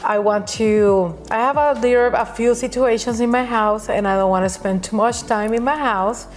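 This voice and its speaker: Puerto Rican woman, halting and laughing. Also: on the high side, fairly even intonation, faint music behind. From Puerto Rico. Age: middle-aged. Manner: halting, frequent restarts, laughs often. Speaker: guest, nail educator. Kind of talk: casual interview chat.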